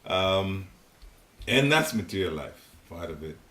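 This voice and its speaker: Older ghanaian guy, deep voice, rambly chatty spiritual class in someone's living room, roomy sound. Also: sing-song, measured pace, sharp tone. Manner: rambling, chatty, chuckling asides. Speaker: devotional class leader. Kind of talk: informal spiritual teaching talk. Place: living room in a private home. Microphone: seated, room recorder at a distance. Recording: roomy home recording, faint hiss.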